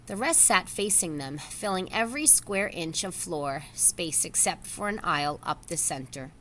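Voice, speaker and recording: A woman's voice: fairly low pitch, lively intonation, moderate pace, a bit bright, slight background noise. A woman reading a children's novel aloud as a story reader, expressively voicing the dialogue within the narration.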